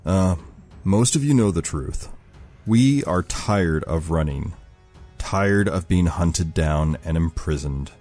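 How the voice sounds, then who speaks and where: Man playing a deep-voiced, solemn rebel leader. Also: animated, takes it slowly, darkish tone, soft background music, exaggerated voice. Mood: solemn, resolute. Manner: solemn, formal, oratorical. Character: grave, commanding male rebel leader with powers.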